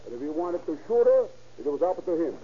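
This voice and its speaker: Excitable witness, old radio. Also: male voice, a little high, fairly quick. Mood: insistent. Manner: emphatic, defensive. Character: excitable immigrant male witness on the stand. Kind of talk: 1930s radio acting. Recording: muffled, noisy 1930s radio recording.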